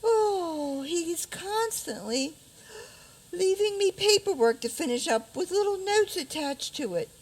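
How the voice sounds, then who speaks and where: Actress as sleepless princess, high swooping voice, weary, plaintive, theatrical, radio comedy. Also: female voice, lively intonation, a little slow, exaggerated voice, rough recording. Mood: weary, complaining. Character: weary, dramatic sleepless fairy-tale princess.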